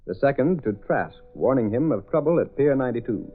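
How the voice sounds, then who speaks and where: A man playing the dramatic narrator of an old radio serial, with measured announcing. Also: mid-range voice, lively intonation, narrow, tinny audio, soft background music, lightly acted. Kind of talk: scripted 1930s-40s radio narration. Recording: thin old radio recording.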